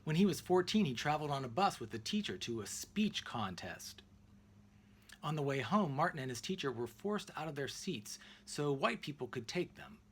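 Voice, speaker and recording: A man reading a children's picture book aloud expressively, in deliberate phrases with emphatic pauses. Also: fairly high pitch, very animated, slightly rough. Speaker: read-aloud narrator.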